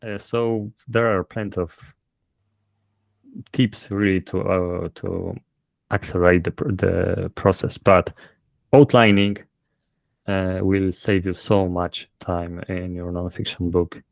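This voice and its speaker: A man's voice: sits low, flat delivery, slow, creaky, dry recording. A man with a thick Polish accent, a self-published author explaining his methods in an interview, hesitant with many fillers. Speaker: guest author. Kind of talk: casual interview.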